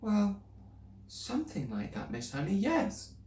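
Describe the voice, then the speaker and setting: A man reading aloud and voicing a small, bright, earnest girl who asks eager, pleading questions. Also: high voice, wildly swooping pitch, measured pace, a little hiss or hum, voice pushed up, exaggerated voice. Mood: excited. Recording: roomy, distant mic.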